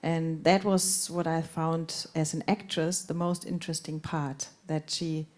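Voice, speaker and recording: A woman's voice: deep voice, little pitch movement, a little slow, darkish tone. Hesitant woman actress with lots of restarts. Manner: hesitant, laughing, many restarts. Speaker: actress at a festival panel. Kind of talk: panel talk.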